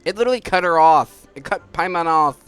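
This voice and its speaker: A man's voice: fairly high pitch, animated, brisk, a bit bright, clean tone, dry recording, faint music behind. A young adult man hosting a gaming video with casual, conversational commentary.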